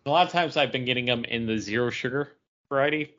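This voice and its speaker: A man's voice: mid-range voice, quick pace, fairly bright tone. A man co-hosting a casual podcast about pop culture, talking in a rambling way and often interrupting himself. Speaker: podcast co-host. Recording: dull lo-fi audio.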